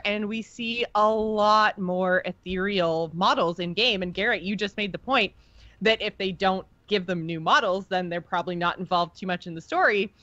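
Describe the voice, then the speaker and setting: A woman's voice: lowish voice, fairly bright tone, dry recording, lo-fi sound. A woman co-hosting a podcast in casual chat, talking enthusiastically with plenty of slang. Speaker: podcast co-host. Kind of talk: casual chat about game lore.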